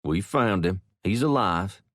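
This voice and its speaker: A man as a blunt, steady grown man. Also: sits low, measured pace, no room sound, clean studio sound.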